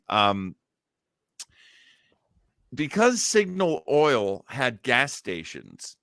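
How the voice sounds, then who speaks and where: A man's voice: mid-range voice, fairly bright tone, no echo, studio quality. A man hosting a show in casual, chatty commentary. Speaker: host of an old-time radio show podcast.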